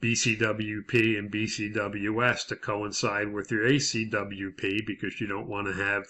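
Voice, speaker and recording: A man giving a lecture as an instructor, explaining methodically in a semi-scripted way with hesitant pauses. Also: lowish voice, bright, forceful tone.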